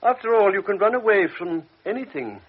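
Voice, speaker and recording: An actor playing a calm, authoritative older doctor in a radio drama, speaking in a measured, persuasive way. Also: male voice, high voice, breathy edge, full character voice. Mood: grave. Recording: muffled old radio recording.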